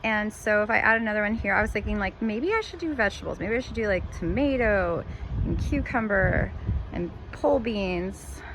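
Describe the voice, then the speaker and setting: A woman's voice: medium pitch, lively intonation, normal speed. A Canadian woman from Ontario giving a rambling, chatty walkthrough of her garden, gushing over her plants. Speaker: gardening vlogger. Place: outdoors in a home garden. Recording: outdoor noise behind voice.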